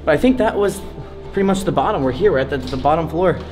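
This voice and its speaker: A young man in his twenties talking excitedly to a handheld camera as he explores an abandoned sea fort, thinking aloud. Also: on the high side, quick pace, soft background music. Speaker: urban explorer vlogger. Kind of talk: casual handheld vlog narration. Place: inside an abandoned sea fort, concrete corridors and rooftop.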